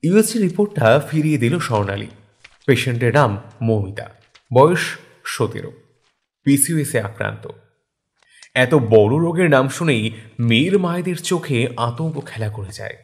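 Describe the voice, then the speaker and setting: A man's voice: mid-range voice, animated, normal speed, pauses often. A man giving a scripted narration of a story. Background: background music.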